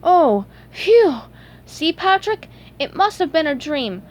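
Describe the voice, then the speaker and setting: A woman voicing an excitable, naive cartoon sea sponge boy in an eager, exclamatory storybook reading. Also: high-pitched, slow pace, pitched above the performer's own voice, heavily acted. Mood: relieved.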